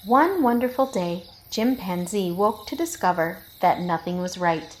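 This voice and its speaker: A woman reading a children's picture book aloud in an expressive storytelling style. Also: fairly low pitch, unhurried, slight background noise.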